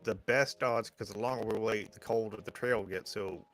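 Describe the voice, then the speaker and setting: A man's voice: lowish voice, fairly even intonation, normal speed, soft background music. A man playing a tabletop roleplaying game, talking casually in character, blunt and sweary as he argues out plans. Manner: blunt, profane. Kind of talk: casual in-character banter and planning.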